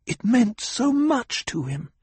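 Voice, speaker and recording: A man voicing a proud young noblewoman in an audiobook, tense and defensive, with a husky, gravelly tone. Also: on the high side, brisk, a little mellow, dry recording, voice pushed up. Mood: defiant. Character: proud young noblewoman, defiant yet pleading.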